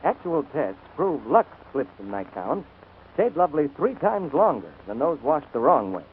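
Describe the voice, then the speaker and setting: Vintage radio ad announcer, male, polished and animated, narrow-band sound with faint hiss. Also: on the high side, normal speed. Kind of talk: 1940s radio advertising announcing. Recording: scratchy, narrow-band 1940s radio broadcast.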